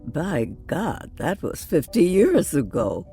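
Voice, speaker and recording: An older female starship captain in a science fiction audio drama. She is reflective and weary, with an unhurried delivery and fry in her voice. Light music plays underneath. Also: low voice, sing-song, dark tone, exaggerated voice. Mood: wry, warm.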